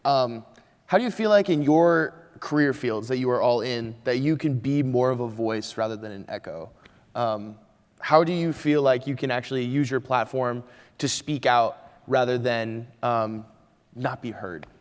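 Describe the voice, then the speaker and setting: A man moderating a panel puts his questions in a measured way. His smooth, clear voice carries a long reverb from the large hall around him. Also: medium pitch. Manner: measured, posing questions with fillers.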